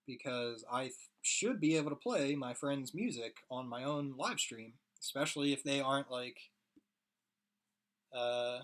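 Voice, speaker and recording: A hoarse young man rambling casually to his viewers while he draws on a live stream. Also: medium pitch. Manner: rambling, chatty, talks to chat viewers. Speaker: streaming artist.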